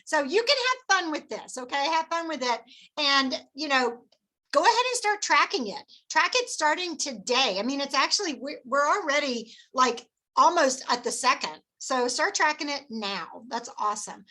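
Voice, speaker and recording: A woman hosts a team meeting in a peppy, cheerleading way. Her high voice is bright and sharp, and she keeps encouraging her team to hit their targets. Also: very animated, normal speed. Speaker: meeting host.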